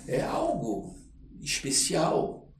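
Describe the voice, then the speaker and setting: An older man with a Brazilian accent tells stories conversationally as an interview guest, in an expressive, reflective way, often checking in with tag questions. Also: on the high side, extremely slow. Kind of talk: conversational storytelling. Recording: roomy, distant mic, faint noise.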